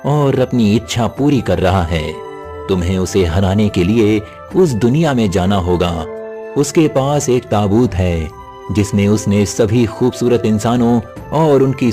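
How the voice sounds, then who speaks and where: A wise old hermit sage, played by a man, speaks in a lowish voice. He is grave and instructive, in cartoon storytelling style, with clear music behind him. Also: dark tone. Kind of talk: Hindi cartoon moral story acting.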